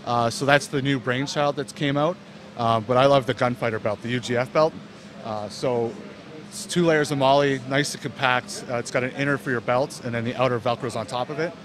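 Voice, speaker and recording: A man in his thirties, a company representative, describing gear in a loose, relaxed way with many fillers, speaking into a handheld mic in an indoor expo hall. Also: medium pitch, fairly quick. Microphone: handheld mic held by interviewer.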